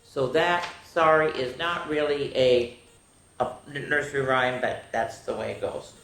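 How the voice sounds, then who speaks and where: An older woman hosting a children's story time, reading nursery rhymes aloud in a sing-song, drawn-out way with chatty asides to her young listeners. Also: rumbling low, fairly even intonation, fairly bright tone. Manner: addresses young listeners directly. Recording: roomy, echoing room sound.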